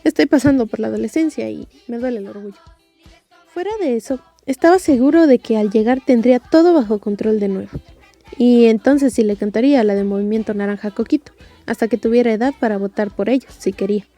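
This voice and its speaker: Mellow Mexican woman narrating, fairly high voice. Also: fairly quick, faint music behind. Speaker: audiobook narrator. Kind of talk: wry scripted story narration.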